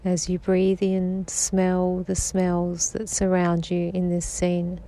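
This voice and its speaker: A woman guiding a sleep meditation in a slow, soft-spoken, soothing manner. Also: fairly low pitch, completely flat delivery, low-quality recording. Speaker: meditation guide.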